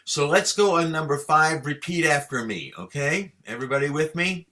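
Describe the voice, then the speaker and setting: A man's voice: on the high side, expressive, pushed and bright. A man teaching Torah chanting to a class over a video call, patient and encouraging as he explains and leads the students. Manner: patient, encouraging, chants each sign slowly for students to repeat.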